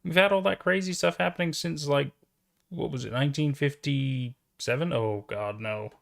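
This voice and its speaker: A man's voice: fairly high pitch, normal speed, creaky, a bit bright. A man hosting a reaction video, giving casual commentary in short asides and exclamations.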